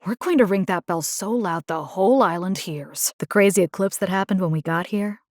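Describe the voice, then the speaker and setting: A woman's voice: mid-range voice, brisk, a little mellow, no echo, clean studio sound, close to an everyday voice. An actress voicing a resolute, caring, plain-spoken young woman in a video game, earnest and conversational with a wry edge. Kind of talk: acted video game character dialogue.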